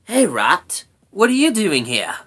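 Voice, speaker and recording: A woman's voice: medium pitch, big pitch swings, a little slow, fairly bright tone, voice pushed up. Woman doing a brash, grumpy young creature girl. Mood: brash, mocking. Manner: brash, sarcastic. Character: sharp-tongued young female brownie, furry, child-sized, grumpy.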